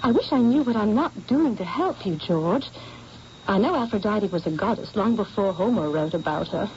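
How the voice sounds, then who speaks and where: An actress playing a young artist's model in an old radio drama, curious and sincere. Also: female voice, medium pitch, lively intonation, moderate pace, darkish tone, close to an everyday voice. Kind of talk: 1970s radio drama acting. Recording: dull old radio broadcast, faint hiss.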